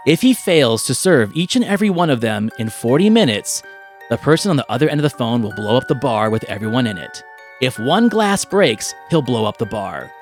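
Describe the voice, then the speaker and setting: A man hosting a podcast, chatting casually about films and pitching ideas with animated, vivid storytelling. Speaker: podcast host. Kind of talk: casual film chat and movie pitching.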